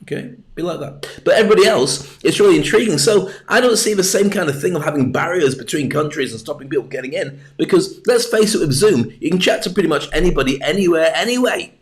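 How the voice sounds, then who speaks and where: A man's voice: fairly high pitch, lively intonation, fast talker, few pauses. A British man hosting a livestream, talking in a chatty, jokey, rambling way into a webcam mic at his desk. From Britain. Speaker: livestream host. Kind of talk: rambling casual monologue.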